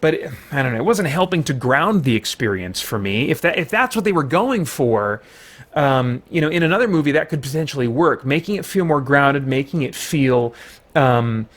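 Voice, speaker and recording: A man's voice: mid-range voice, animated, moderate pace, a bit bright. A man talking through a film review in a casual, conversational way, rambling a little as he explains his opinions. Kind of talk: casual spoken movie review.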